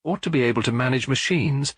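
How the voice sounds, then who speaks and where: A British man voicing a haughty, fiery young modern woman in an audiobook, lofty, brisk and emphatic. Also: medium pitch, a bit bright, slightly rough, no echo, voice pushed up. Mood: assertive. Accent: British English.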